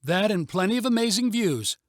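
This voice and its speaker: An upbeat, confident mother from a storybook read by a man. The voice sits fairly high, calls out encouragingly and moves in lively swings, recorded dry. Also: brisk, no room sound. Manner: encouraging, calling out. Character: upbeat, confident mother leading a family hike.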